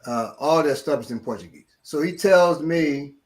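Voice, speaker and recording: A man's voice: a little high, moderate pace. A middle-aged man, a martial arts instructor, telling a story in casual talk and acting out what others said. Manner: animated, acts out quotes of others.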